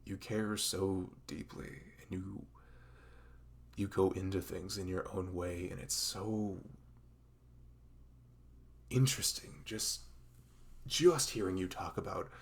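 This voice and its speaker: A man plays a dorky young male best friend with a crush in an intimate ASMR roleplay. His voice sits very low, with a gravelly creak. He rambles slowly and haltingly, sentimental and self-conscious. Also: fairly even intonation, full character voice. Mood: admiring, tender. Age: young adult.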